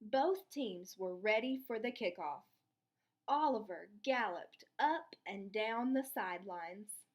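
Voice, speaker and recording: A woman's voice: medium pitch, animated, slow pace. A woman teacher reading a children's story aloud and explaining it step by step. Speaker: elementary school teacher. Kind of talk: children's read-aloud with lesson.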